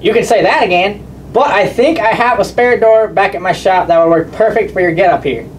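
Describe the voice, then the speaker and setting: A woman's voice: mid-range voice, expressive, fairly quick, far from the mic, slight background noise, lightly acted. A woman voicing a friendly, helpful male country mechanic puppet, folksy and easygoing. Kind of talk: children's puppet show acting.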